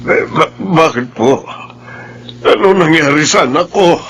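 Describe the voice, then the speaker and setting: A man's voice: on the high side, lively intonation, unhurried, a bit bright, slight background noise. A man acting a distraught middle-aged father in a Filipino radio drama. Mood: grief-stricken.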